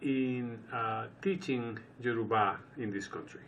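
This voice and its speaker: Guy hosting a radio interview, chatty halting questions, kinda far from the mic, light hiss. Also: mid-range voice, a bit bright. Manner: conversational, halting questions with restarts.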